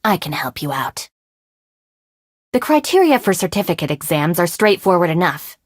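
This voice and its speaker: A woman's voice: on the low side, very animated, moderate pace, dry recording, clean studio sound. An actress playing a capable, no-nonsense young woman, a gang's deputy leader in a video game, in a composed, matter-of-fact, dryly confident manner. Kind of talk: video game character voice lines.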